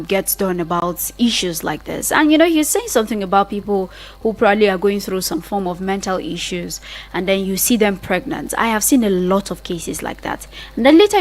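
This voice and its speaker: A woman's voice: medium pitch, a little mellow. A woman radio host with a Nigerian accent speaks live on air. Her delivery is conversational and earnest, addressing listeners directly as she urges them to take part.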